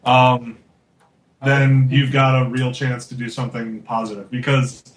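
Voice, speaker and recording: A man's voice: mid-range voice, level tone, measured pace. A man around thirty, a studio artist, speaking candidly and earnestly in a casual chat, with frequent fillers. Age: 30s. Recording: roomy with faint background noise.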